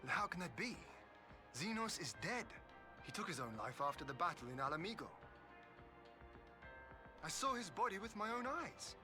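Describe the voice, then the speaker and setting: An actor playing a proud, solemn young lord in a video game, speaking in formal, archaic phrases. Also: male voice, sits high, big pitch swings, moderate pace, long pauses, over music. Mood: shocked disbelief. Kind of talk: video game cutscene acting.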